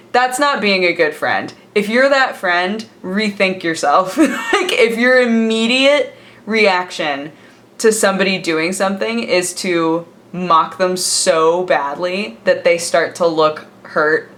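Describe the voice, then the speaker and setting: A woman's voice: on the low side, fairly bright tone. Chatty young woman, rambling vlog talk. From United States. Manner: chatty, rambling, lots of fillers and laughs. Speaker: vlogger. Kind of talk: casual chat to camera. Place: home, sitting with coffee.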